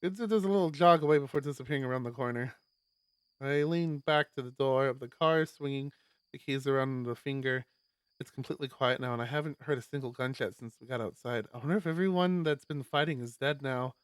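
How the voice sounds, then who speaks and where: A man streaming a live read-aloud of a visual novel, expressive, with stumbles and casual asides. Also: mid-range voice, a little fast, clean tone, dry recording. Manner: expressive, stumbles over words while reading.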